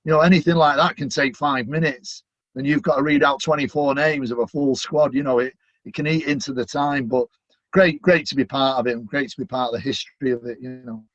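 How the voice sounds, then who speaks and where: A man's voice: medium pitch, steady tone, a little fast, dry recording. Man, Manchester accent, middle-aged, chatty, lots of fillers, dry close sound, muffled lo-fi. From Manchester, England. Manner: chatty, upbeat, many "you know" fillers. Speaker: guest, stadium announcer. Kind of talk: casual interview chat. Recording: dull, dry lo-fi audio.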